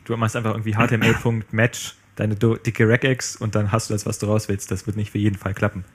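A man's voice: lowish voice, level tone, fast, husky. A man in casual conversation about programming, rambling and playful with many fillers. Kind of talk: casual tech chat.

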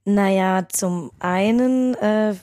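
A woman's voice: mid-range voice, slow, warm tone, no echo. Woman guest on a podcast chat, lots of ähs, kinda wry, dry sound. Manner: hesitant, many fillers, wry.

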